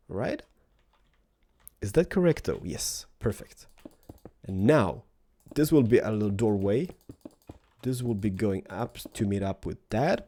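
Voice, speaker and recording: Man, slight cold, playful, thinking aloud, mellow voice, keyboard clicks behind. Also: mid-range voice. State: has a cold. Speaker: Minecraft building host. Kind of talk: casual build commentary.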